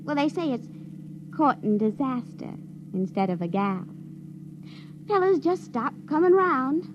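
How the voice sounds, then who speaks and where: High-voiced backwoods teenage girl, noisy old radio. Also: big pitch swings, measured pace, highs cut off. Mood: wistful, sly. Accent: rural American. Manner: folksy, hesitant, drawling dialect. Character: sixteen-year-old backwoods farm girl, plaintive, naive, sly. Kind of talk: 1950s radio drama acting.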